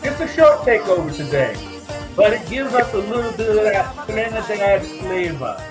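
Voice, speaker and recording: A man hosting a podcast, talking in a relaxed, easygoing way and drawing out his phrases. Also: high-pitched, normal speed, music in the background. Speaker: podcast host. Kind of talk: casual podcast chat.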